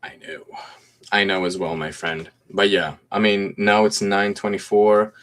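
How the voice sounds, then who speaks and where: A man in his twenties from Greece, speaking with a slight American accent and a deep, low voice. He chats in a relaxed, easygoing way on a phone livestream at home, reading out comments and answering them one by one. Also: a little slow, slight background noise. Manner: laid-back, chatty, reads comments aloud and answers them. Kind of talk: casual livestream chat answering viewer comments. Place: room at home with mint green walls.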